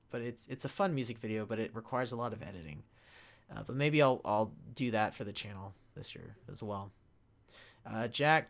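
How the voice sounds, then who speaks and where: A young man with a mid-pitched voice talks casually, as a creator fielding questions from a chat. His delivery is halting and unscripted, dropping to a mumble to read and getting louder to answer.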